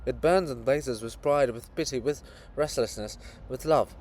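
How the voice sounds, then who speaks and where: A man's voice: medium pitch, normal speed, a little mellow, a little hiss or hum, close to an everyday voice. A man voicing the monument of a proud, anguished princess in a drama, with an impassioned, mournful, declamatory delivery. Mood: defiant, fervent.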